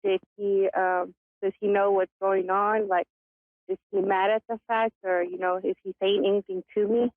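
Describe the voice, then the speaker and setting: A woman from Texas calls in and speaks in a halting, hesitant way. Her voice sits at a middle pitch. It comes through a thin, phone-like line with no room sound. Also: level tone, no room sound. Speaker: caller.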